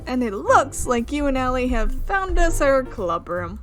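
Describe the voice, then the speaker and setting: A woman voicing a bubbly, brash schoolgirl from a visual novel in a loud, sing-song, exuberant manner. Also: high voice, sing-song, measured pace, faint music behind, exaggerated voice. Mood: cheerful, confident.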